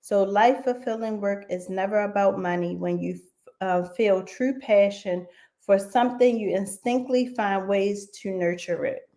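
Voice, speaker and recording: A woman hosting a live online radio interview, talking slowly with many fillers like "um". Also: mid-range voice. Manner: slow, warm-hearted, with frequent fillers. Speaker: radio show host.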